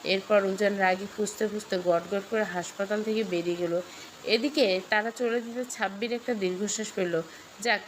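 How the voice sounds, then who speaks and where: A woman's voice: mid-range voice, slight background noise, rough recording. A woman reading a scripted story with dramatic delivery. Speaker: story narrator.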